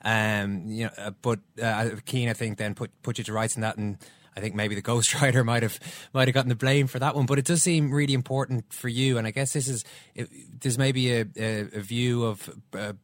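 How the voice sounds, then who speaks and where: A man's voice: medium pitch, dry recording. A male podcast host asking a guest long, winding, conversational questions. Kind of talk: interview question.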